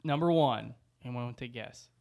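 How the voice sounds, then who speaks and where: A man's voice: mid-range voice, quick pace, vocal fry, darkish tone. A man chatting casually as a podcast panelist, sometimes reading items out to the others. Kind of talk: casual chat, reading out a list.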